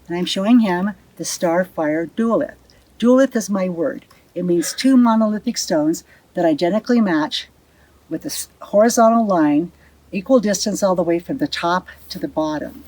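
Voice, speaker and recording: A woman's voice: fairly low pitch, animated, normal speed, a little mellow, dry recording, poor audio. A woman presenting and explaining a site as a guide, speaking outdoors on location.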